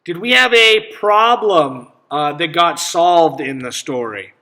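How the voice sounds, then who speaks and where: A man reading a picture book aloud to children as a teacher, pausing to ask simple questions and explain things. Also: on the high side, animated, bright, forceful tone. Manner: instructive, checks understanding with simple questions.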